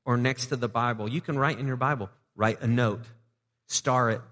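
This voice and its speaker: A man's voice: mid-range voice, steady tone, normal speed, fairly bright tone. A male pastor preaching a casual, joking sermon to his congregation. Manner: conversational and joking, with playful asides to the congregation. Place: church sanctuary. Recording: long reverb of a church hall.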